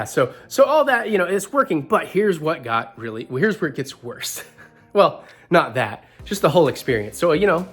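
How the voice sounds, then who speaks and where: A man's voice: a little high, fairly bright tone. A man with a stuffy head cold, talking casually and rambling on his vlog, often laughing. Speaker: vlogger.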